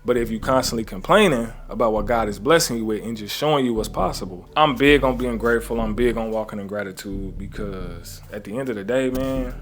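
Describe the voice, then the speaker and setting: A man's voice: lowish voice, a little fast, few pauses. Earnest man giving a motivational talk. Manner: conversational, often adds "right?" and "you know what I'm saying?"; earnest, preaching gratitude with faith references. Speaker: trading coach.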